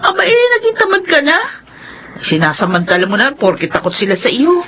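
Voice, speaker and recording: An actress playing a worried, scolding, hardworking wife in a Filipino-accented radio drama, nagging and pleading. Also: female voice, on the high side, huge pitch swings, fairly quick, a bit bright, slight background noise. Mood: reproachful. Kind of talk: Philippine radio drama acting.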